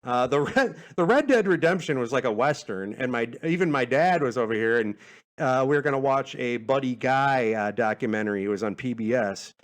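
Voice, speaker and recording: A man's voice: mid-range voice, animated, brisk. A man, a podcast host, chatting in a casual, self-deprecating way as he rambles through answers to questions. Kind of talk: casual rambling Q&A monologue, reading out listener questions.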